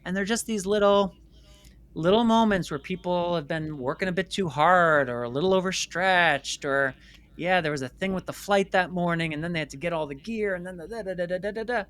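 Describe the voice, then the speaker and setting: A man speaking as the guest in a candid interview, telling stories in an animated way. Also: high-pitched, a little fast. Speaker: guest, filmmaker and company CEO.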